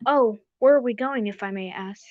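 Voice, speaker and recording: Radio drama role: old-fashioned, exclamatory gentleman companion, voiced by a woman, high voice, slightly muffled roomy sound. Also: normal speed, close to an everyday voice. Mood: surprised, sympathetic. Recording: dull, roomy recording.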